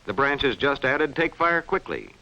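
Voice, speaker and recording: Male narrator, for a school science film: forceful, lively intonation, precise and instructive, on a dull old soundtrack. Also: medium pitch, measured pace, slight background noise. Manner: clearly enunciated, didactic delivery. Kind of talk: scripted educational film narration. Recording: dull, band-limited vintage film soundtrack.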